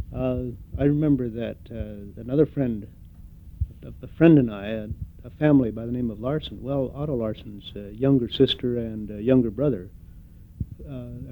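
An older man giving an oral history interview, reflective and hesitant, pausing often with fillers. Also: mid-range voice. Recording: tinny phone-like recording.

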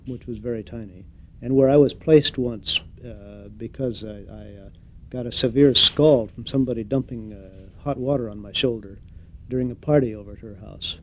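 An older man giving an oral history interview, reflective and hesitant, pausing often with fillers. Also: medium pitch. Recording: tinny phone-like recording.

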